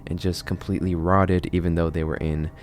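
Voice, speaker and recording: A man with a notably deep voice talks through a horror series as a video host. He explains things conversationally, like a narrated essay. A faint low noise sits under the recording. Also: little pitch movement, fairly quick, a little mellow.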